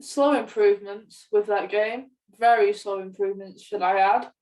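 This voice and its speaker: Female, eighteen, mid-pitched voice, a little off-mic. Podcast co-host in relaxed conversation about gaming. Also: normal speed, distant mic, low-quality recording. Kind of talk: casual chat about gaming.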